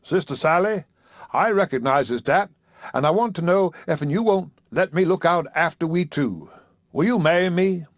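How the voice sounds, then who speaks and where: A man's voice: on the high side, lively intonation, normal speed, no echo, studio quality, pitched above the performer's own voice, close to an everyday voice. A man doing a pompous drawling preacher. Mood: courting. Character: self-satisfied male preacher courting a widow.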